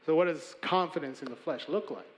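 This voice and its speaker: Guy preaching, earnest, stacks up lists then goes quiet, high voice. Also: brisk, in a large hall, poor audio. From Chicago, USA. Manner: earnest, emphatic preaching with building lists and hushed endings. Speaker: pastor. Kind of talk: sermon.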